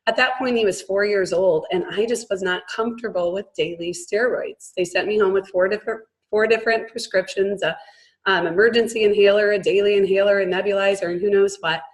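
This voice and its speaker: A woman in her thirties with a hoarse voice, having mostly lost it, earnestly sharing her personal story as a guest on a business call. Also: medium pitch, steady tone, brisk, low-quality recording. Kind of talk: personal testimonial.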